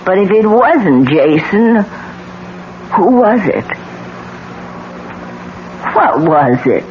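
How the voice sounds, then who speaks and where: Weary haunted widow, slow and dramatic, dull old radio sound. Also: female voice, medium pitch, sing-song, heavily acted. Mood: weary, uneasy. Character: weary, haunted widow recalling her husband's ghost. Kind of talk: 1970s radio drama acting. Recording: muffled, faintly noisy 1970s radio recording.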